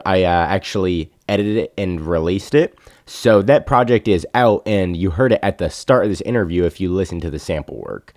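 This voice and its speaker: A man's voice: lowish voice, a little mellow, no echo, clean studio sound. Easygoing male podcast host. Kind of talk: casual interview and voice-over narration.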